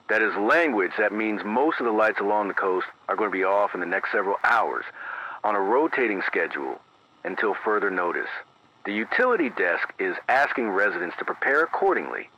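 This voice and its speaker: A man playing a careful, grave local radio announcer who reads emergency bulletins in a formal, measured way, repeating key phrases, through an AM radio filter. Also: lowish voice, big pitch swings, sharp tone, exaggerated voice. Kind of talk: scripted analog horror news bulletin. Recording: dull AM radio sound, faint noise.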